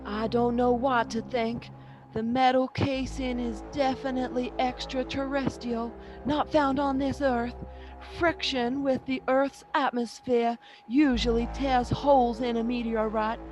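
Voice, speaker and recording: A woman plays a scientist in an old radio play, speaking slowly in a fairly high voice. The professor explains things deliberately, measured but puzzled, with clear music behind. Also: flat delivery, lightly acted. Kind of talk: 1930s radio drama live reading.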